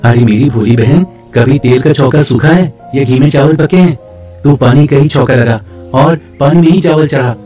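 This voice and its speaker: Man playing a mellow, gentle young brother. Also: medium pitch, moderate pace, breathy edge, soft background music, close to an everyday voice. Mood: gently chiding. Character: gentle, patient young brother in a folk tale.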